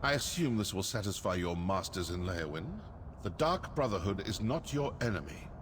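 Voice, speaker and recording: An actor playing a wry, suspicious male assassin in a fantasy video game, with a measured, sardonic delivery. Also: low-pitched, expressive, a little hiss or hum. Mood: defiant. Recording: cavernous reverb, faint game ambience.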